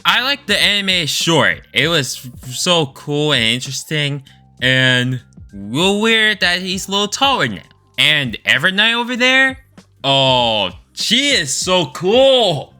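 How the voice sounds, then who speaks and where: A man giving informal, enthusiastic opinion commentary as a video creator, expressive and stretching words for emphasis. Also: fairly high pitch, slow. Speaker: video creator ranking game stories. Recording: voice over background music.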